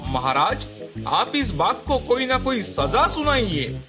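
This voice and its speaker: Cocky cartoon donkey, male actor: high, swooping delivery, whining and boastful, music underneath. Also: big pitch swings, slow pace, full character voice. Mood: aggrieved. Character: cocky argumentative male donkey, gloating and petty. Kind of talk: animated moral story dialogue.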